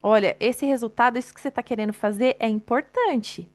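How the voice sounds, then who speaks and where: A woman's voice: a little high, fairly quick. A woman giving a chatty, live-streamed motivational talk, addressing the audience directly and laughing often.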